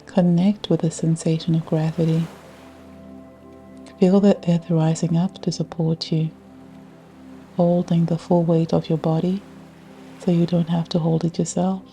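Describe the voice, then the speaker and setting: A woman guiding a scripted yoga nidra meditation in a soothing, measured way with long pauses. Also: lowish voice, little pitch movement, dark tone. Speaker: meditation guide. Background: ambient music with ocean sounds.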